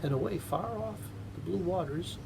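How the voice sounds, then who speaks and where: A man voicing a weary, wistful gray traveler on horseback in a bedtime story, slow and hushed. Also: vocal fry. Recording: home recording with noticeable rumbling noise.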